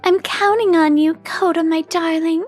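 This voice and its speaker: A woman's voice: very high voice, fairly even intonation, normal speed, a little mellow, soft background music. A woman voicing a brash, streetwise delinquent schoolgirl who leads a gang, in a manga voice-over. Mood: tender. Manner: blunt, streetwise, tough talk. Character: tough delinquent schoolgirl gang chief, brash, secretly smitten.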